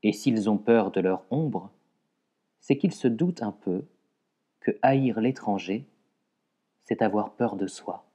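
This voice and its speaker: Slow, mellow man reciting poetry. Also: lowish voice, lively intonation. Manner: measured, pausing between short phrases. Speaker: poetry reader.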